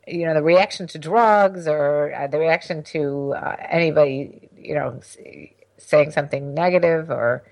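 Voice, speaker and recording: Middle-aged woman, very low voice, gravelly, chatty teasing podcast host. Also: moderate pace, a little mellow. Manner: chatty, teasing, rambling restarts. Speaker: podcast co-host, sex expert. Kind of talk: casual chat.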